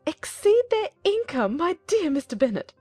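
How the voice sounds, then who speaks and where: A fussy middle-aged mother from a period novel audiobook. Her voice is extremely high and wildly animated, effusive and exclaiming. It sounds closely and dryly recorded, with music behind. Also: normal speed, no echo. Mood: indignant, thrilled. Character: excitable, gushing middle-aged English mother, fussy and overjoyed.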